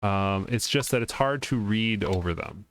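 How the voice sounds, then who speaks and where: A man's voice: on the low side, normal speed, a little hiss or hum. A man playing a game, reading its text aloud and breaking off for informal asides as he comments. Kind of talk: let's play commentary with dialogue read aloud. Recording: music behind the voice.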